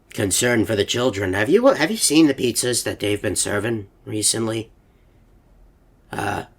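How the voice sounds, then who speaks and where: A man's voice: lowish voice, gravelly. A man voicing an anxious, mild-mannered animatronic robot in a video game, speaking politely with hesitant stammers. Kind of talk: game character monologue.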